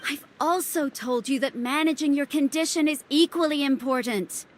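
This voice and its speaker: A woman's voice: sits high, moderate pace, soft background music, lightly acted. A woman playing a fretful young doctor who scolds as a younger sister, exasperated and emphatic, in a video game. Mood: upset, stern.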